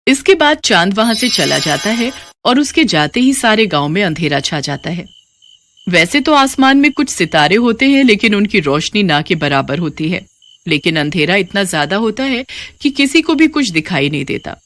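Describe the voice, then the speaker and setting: A woman's voice: mid-range voice, a bit bright, dry recording. A woman reading scripted narration for a children's moral story.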